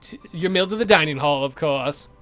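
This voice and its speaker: A man's voice: a little high, normal speed, a bit bright, soft background music, pitched below the performer's own voice, close to an everyday voice. A man voicing a suave, cheeky count with polite, theatrical, condescending menace for a visual novel game. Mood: brisk, courteous.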